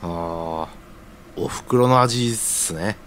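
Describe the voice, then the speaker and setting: Casual laddish man, deep voice, slow delivery, slangy, breezy, radio drama. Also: slight background noise. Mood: breezy, teasing. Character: breezy, casual junior guy butting in on the couple. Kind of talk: audio drama acting.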